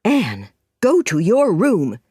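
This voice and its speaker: A woman voicing a stern, practical older female guardian in an audiobook story, with a firm, measured delivery. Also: fairly low pitch, sing-song, a little mellow, no room sound, clean studio sound.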